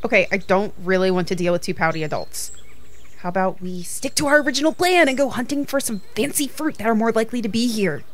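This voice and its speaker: A woman's voice: mid-range voice, lively intonation, quick pace, natural voice. A woman playing a sensible, bookish young friend who loves mythology, dry and explanatory, in an audio drama.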